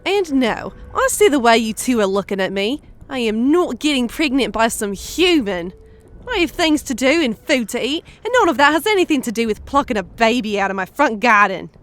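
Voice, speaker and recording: A woman's voice: on the high side, very animated, moderate pace, a bit bright. A woman playing a feisty, indignant fantasy woman who complains emphatically in an audio drama. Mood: defiant. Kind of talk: audio drama character acting.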